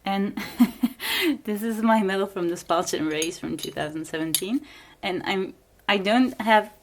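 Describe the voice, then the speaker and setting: A woman's voice: medium pitch, big pitch swings, a little slow. A young woman whose first language is Dutch, chatting casually as she shows her apartment room by room on a handheld camera, giggling and dropping to whispers now and then. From Belgium. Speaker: vlogger giving a home tour. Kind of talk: casual house tour narration. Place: small apartment room indoors. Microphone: handheld camera held while walking.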